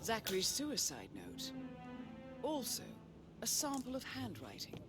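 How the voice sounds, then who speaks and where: Game role: composed, dry female mission handler, highly melodic delivery over prominent music. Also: huge pitch swings, a little slow. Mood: composed, wry. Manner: poised, dry. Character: composed, wry female handler guiding an assassin.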